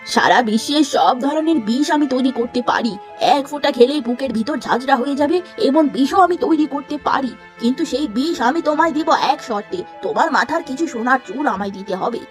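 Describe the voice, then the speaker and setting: A woman voicing a sly old woman who brews poison, speaking slowly and bargaining. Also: high-pitched, animated, faint music behind.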